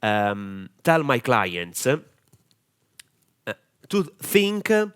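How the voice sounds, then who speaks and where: A man's voice: medium pitch, very animated, drawn-out words, a bit bright, clean tone. A man from Italy with an Italian accent, giving a camera-confidence lesson as a marketing mentor, direct and earnest with many hesitations. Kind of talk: camera-confidence training talk.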